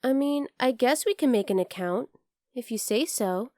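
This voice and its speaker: A very high, girlish bunny girl, played by a woman, recorded close and dry for an ASMR roleplay. She is teasing and outdoorsy, curious about humans, and blunt in a casual, playful way. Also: expressive, normal speed, dark tone, dry recording, lightly acted. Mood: casual, chatty. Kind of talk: ASMR roleplay addressed to the listener.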